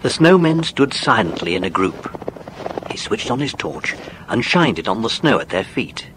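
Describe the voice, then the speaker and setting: A man's voice: medium pitch, sing-song, moderate pace, fairly bright tone, slight background noise, low-quality recording. A man narrating a children's story from a script, as in an audiobook drama.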